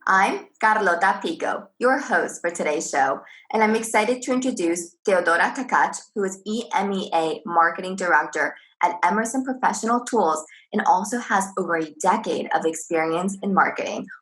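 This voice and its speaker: A woman hosting an interview podcast, upbeat and asking quick follow-up questions. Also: medium pitch, a bit bright, low-quality recording. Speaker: podcast host.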